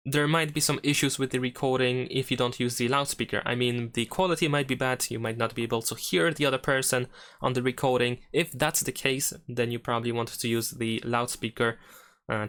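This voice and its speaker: A man's voice: medium pitch, fairly even intonation, fairly quick, fairly bright tone, clean tone. A man hosting a tech tutorial, explaining steps in a casual, easygoing way as he goes along. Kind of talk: casual step-by-step tutorial narration.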